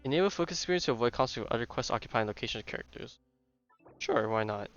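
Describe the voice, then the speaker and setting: A man streams a game and thinks aloud in a casual, rambling way. His voice sits at an average pitch, recorded slightly dull with clear game music behind him. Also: animated, quick pace. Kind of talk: casual live gameplay commentary.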